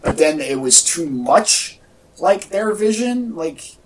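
A man talking casually as a podcast co-host, in a halting, conversational way. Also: fairly high pitch, animated. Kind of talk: casual chat about movie news. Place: indoor room with echo. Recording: echoey room, distant from mic.

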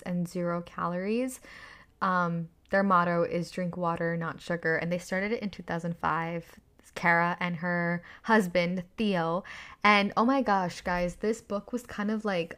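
A young woman hosting her own podcast, chatting enthusiastically about a book with a slightly raspy voice from screaming. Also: fairly low pitch. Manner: enthusiastic, chatty, lots of fillers. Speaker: podcast host. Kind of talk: casual solo podcast book review.

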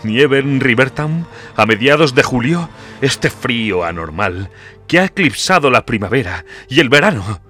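A man's voice: medium pitch, lively intonation, normal speed, pushed and bright, faint music behind, pitched above the performer's own voice. A man voicing an anguished man confessing his grim dread in a horror audiobook. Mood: bitter, fearful.

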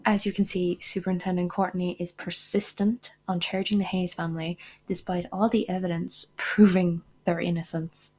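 Husky-voiced woman narrating true crime. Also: lowish voice, level tone, moderate pace, hardly any pauses, darkish tone. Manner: indignant asides between read facts. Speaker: podcast host.

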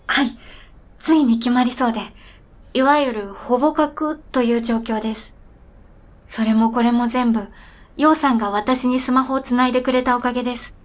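A high-voiced, earnest snowmobile that has learned to talk , played by a woman. It speaks in formal, polite sentences with a matter-of-fact manner, as in radio drama dialogue. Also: steady tone, normal speed, close to an everyday voice. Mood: polite, eager. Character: earnest, polite talking snowmobile with its own identity.

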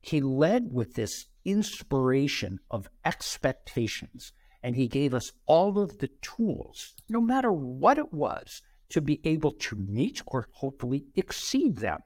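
A middle-aged man, a podcast guest, telling career stories in an animated way and acting out quoted dialogue. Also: medium pitch, a little slow, dry recording, studio quality. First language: bilingual, Brazilian parents. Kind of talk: conversational interview storytelling.

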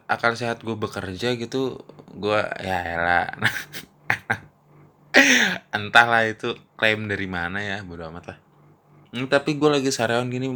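A man's voice: lowish voice, pushed and bright. A young man hosting a casual solo podcast, rambling and slangy, recording on a phone while nursing a mouth ulcer. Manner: slangy, rambling, laughs often. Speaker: podcast host.

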